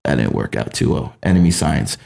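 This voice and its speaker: A man's voice: very deep voice, lively intonation, a little fast, gravelly, a little mellow. A man hosting a numerology podcast, talking casually and ranting with heavy profanity. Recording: roomy echo.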